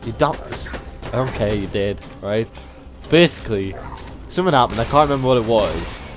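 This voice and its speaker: A man's voice: fairly low pitch, expressive, moderate pace. A young British man telling anecdotes in casual, slangy, bantering chat with a friend. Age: young adult. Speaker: video host telling stories. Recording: music behind the voice. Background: music.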